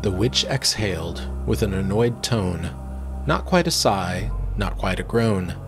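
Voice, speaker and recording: A man's voice: on the low side, lively intonation, measured pace, over music. A man in his thirties narrating a fairy tale in verse with dramatic delivery. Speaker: storyteller. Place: small book-lined room, indoors. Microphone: close desk microphone.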